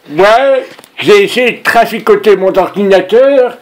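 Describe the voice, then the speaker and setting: A man voicing a pushy, rambling, naive old grandfather in a prank phone call. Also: high-pitched. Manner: insistent, rambling, repeats himself.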